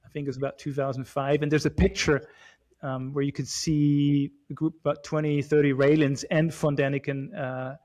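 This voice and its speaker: A man in his forties from Switzerland, talking as a guest in a relaxed chat. His voice sits a little high for a man. He speaks haltingly, with lots of fillers, repeated words and restarts. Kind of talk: casual chat.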